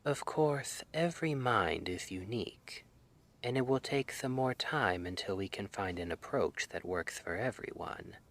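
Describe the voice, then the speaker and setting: Man playing a slow, soft-spoken dream clinician. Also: fairly low pitch, expressive, heavily acted. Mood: welcoming, promotional. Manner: soft-spoken, slow, soothing, often dropping to a whisper. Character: gentle, reassuring male dream-institute clinician guiding a trial patient. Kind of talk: ASMR roleplay.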